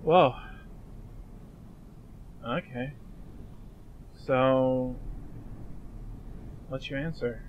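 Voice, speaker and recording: A man's voice: mid-range voice, steady tone, lo-fi sound, slight background noise. A man playing an awkward, apologetic young man who stammers and evades, in fan dub drama dialogue. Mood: uneasy, pleading. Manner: halting, stammering, evasive.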